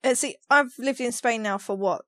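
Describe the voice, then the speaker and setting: A woman's voice: a little high, normal speed, pushed and bright, no echo, studio quality. A woman hosting a podcast in casual conversation, chatty, teasing and full of questions. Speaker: podcast host.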